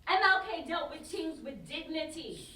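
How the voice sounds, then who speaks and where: High, animated, exasperated young woman played by an actress. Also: normal speed. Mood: earnest reasoning. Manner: animated, slangy, emphatic. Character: exasperated, teasing young woman, slangy social media-savvy friend. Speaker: student actor in a staged reading. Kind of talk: live staged play reading, slangy dialogue. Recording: roomy live stage, distant mic, background noise.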